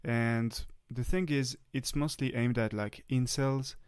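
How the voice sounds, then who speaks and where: A young man from France with a French accent, hosting a casual podcast chat and talking haltingly with many fillers and restarts. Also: mid-range voice, steady tone, a little mellow, smooth. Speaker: podcast host.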